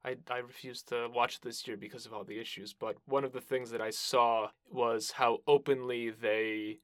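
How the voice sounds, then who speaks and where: Male podcast host, mid-pitched, dry sound. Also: fairly even intonation, normal speed, a bit bright, no echo. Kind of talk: casual interview conversation.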